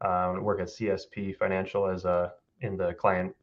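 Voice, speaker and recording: A young man in his twenties with a deep, level voice who introduces himself quickly. He sounds muffled and away from the mic. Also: flat delivery. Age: 20s. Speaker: financial planner. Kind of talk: casual self-introduction.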